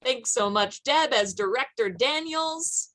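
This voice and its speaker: A woman's voice: high voice, animated, unhurried, a bit bright. A woman hosting an online event in an effusive, upbeat way. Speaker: event host. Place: online video call.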